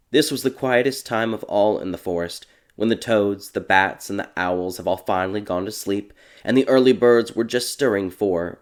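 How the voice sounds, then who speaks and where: A man narrating a dark fairy tale audiobook in a measured, theatrical storytelling manner. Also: on the low side.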